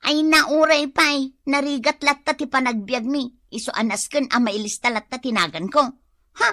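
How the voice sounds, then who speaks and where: A woman's voice: sits high, normal speed, pushed and bright, no room sound, studio quality. A woman with a Filipino accent playing a poor, whiny mother who pleads and complains, in a comedic radio drama. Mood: complaining.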